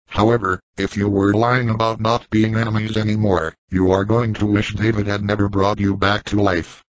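Formal robot hero man, voiced by a text-to-speech engine, lowish, stiff delivery, dry muffled sound. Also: level tone, moderate pace, no room sound, lightly acted. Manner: stiff, formal machine reading. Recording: dry lo-fi synthesized audio.

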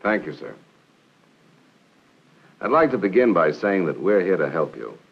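A methodical counterintelligence agent in a vintage military training film. His low voice rises and falls expressively while staying formal and polite. The old soundtrack is muffled. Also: very animated, breathy edge, exaggerated voice. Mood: courteous, assured. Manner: measured, formal delivery. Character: courteous, methodical male army counterintelligence agent. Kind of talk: 1960s training film acting. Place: small office and meeting room, indoors.

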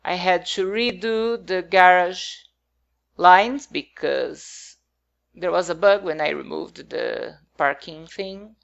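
Slow, gravelly non-native English-speaking woman. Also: lowish voice, muffled recording. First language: not English. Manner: hesitant, rambling, many fillers. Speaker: game builder narrating a build. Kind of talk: casual voice-over commentary.

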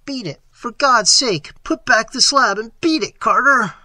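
Terrified trapped man, high strained pitch, husky edge, frantic pleading, boyish slang, horror audiobook. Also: unhurried, pushed and bright, no room sound, studio quality. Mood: screaming despair.

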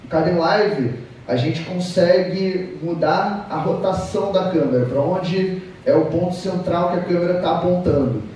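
A Brazilian man in his thirties giving an informal technical talk, explaining haltingly with repeated words. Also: high voice, dark tone. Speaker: conference speaker. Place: auditorium stage, seated at a table. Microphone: distant camera in the audience. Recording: echoey hall, distant mic, background noise.

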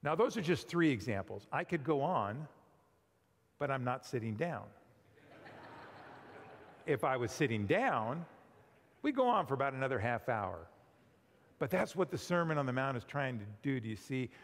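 A middle-aged man preaching a sermon in a church sanctuary, conversational and animated, often asking his listeners questions. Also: medium pitch, normal speed, pauses often. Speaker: pastor. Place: large church sanctuary with pipe organ. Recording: long hall reverb.

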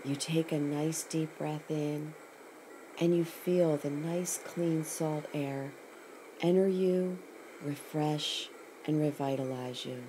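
A woman with a notably low voice leads a scripted guided meditation. She speaks very slowly and soothingly, setting each short phrase apart with a pause. Clear ambient noise runs through the recording. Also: fairly even intonation. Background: ocean sounds.

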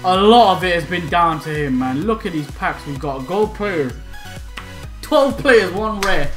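A man's voice: high voice, animated, measured pace. A young man giving hyped, slangy commentary while opening game packs at home. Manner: hyped, shouting and laughing, slangy banter. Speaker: gaming YouTuber. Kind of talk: excited pack-opening commentary. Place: small home room, indoors. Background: faint background music.

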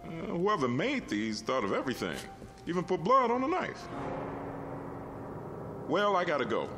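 A man's voice: high-pitched, wildly swooping pitch, moderate pace. An actor playing a chatty, folksy working man who is easily impressed, in a 1960s TV drama. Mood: amazed. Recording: vintage TV soundtrack with music underneath.

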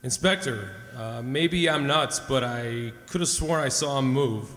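A man's voice: mid-range voice, unhurried, fairly bright tone, lightly acted. A man plays an obedient but nervous policeman in an old-time radio mystery. His middling voice is hesitant and deferential. It sounds roomy, on a hall stage. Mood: uneasy. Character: uneasy, obedient male police subordinate. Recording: echoey hall, live stage performance.

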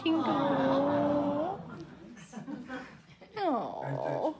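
A woman's voice: sits high, very slow, very noisy. A woman interviewing performers and reacting to the story with gasps and coos. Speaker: interviewer at the event. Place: hotel lobby with seated guests.

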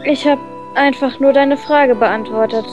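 A woman voicing a taciturn, aloof young woman in a visual novel, terse and measured. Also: high voice, steady tone, muffled recording, music in the background. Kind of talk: visual novel dubbing read aloud.

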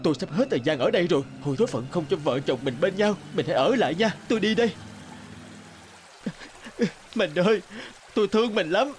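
Animated, high-pitched young husband. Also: male voice, brisk. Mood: fearful. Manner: earnest, pleading, emotional. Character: devoted, anxious young village husband. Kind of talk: cartoon fairy-tale acting. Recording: music under the voice.

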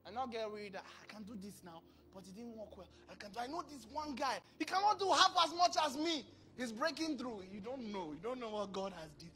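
A man in his thirties preaching a sermon into a handheld mic on a large church stage, acting out dialogue with sudden bursts of loudness. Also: very high-pitched, a little fast, few pauses. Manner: animated, acts out dialogue, bursts of volume. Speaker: pastor.

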